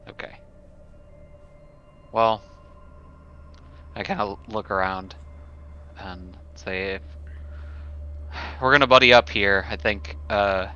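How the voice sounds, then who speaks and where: Guy at a tabletop game, slow bright voice, thinks out loud, dull slightly noisy audio. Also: lowish voice. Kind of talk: casual in-character roleplay and table talk. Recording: dull sound, faint noise.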